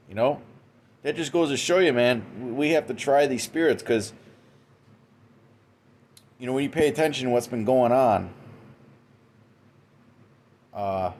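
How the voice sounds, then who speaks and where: A man's voice: fairly low pitch, darkish tone, slight background noise. A man giving an informal religious talk, tagging nearly every sentence with "okay?" and "man", with frequent restarts. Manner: frequent restarts and repeated words. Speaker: religious commentator. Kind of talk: informal preaching monologue. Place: indoors, Western New York.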